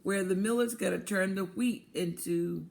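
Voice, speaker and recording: A woman reading a picture book aloud to children, expressive and deliberate, pausing to prompt her listeners. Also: mid-range voice, creaky. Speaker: children's read-aloud storyteller, teacher.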